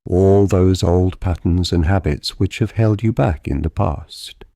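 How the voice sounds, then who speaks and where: Deep, slow, soothing male meditation guide. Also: no echo. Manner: gentle, calm, deliberate, measured delivery. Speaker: guided visualisation narrator.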